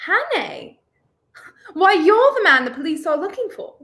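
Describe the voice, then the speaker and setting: Young female actor, prim haughty lady, very high animated voice, 1930s radio drama. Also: unhurried, heavily acted. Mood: wary. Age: 20s. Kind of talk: 1930s radio play acting.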